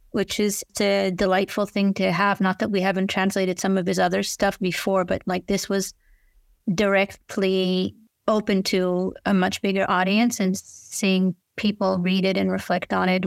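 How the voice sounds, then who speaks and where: A woman's voice: mid-range voice, gravelly, no room sound. A woman who grew up in Israel, speaking frankly as a translator guest in an interview. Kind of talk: interview talk.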